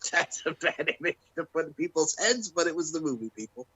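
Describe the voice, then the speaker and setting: A man's voice: fairly high pitch, lively intonation, a little fast, bright, forceful tone, slightly rough, no echo, poor audio. An American man co-hosting a casual podcast, laid-back and given to swearing, reeling off film trivia. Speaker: podcast co-host.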